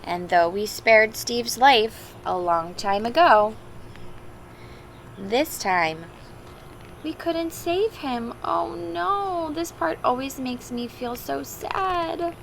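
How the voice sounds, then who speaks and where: Lady doing a kids read-aloud, bit of gravel in her voice, talks simply to the little ones, noisy recording. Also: a little high, takes it slowly. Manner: expressive, simple explanations and questions for young children. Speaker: teacher reading a picture book aloud to children. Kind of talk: storybook read-aloud with chatty asides to children.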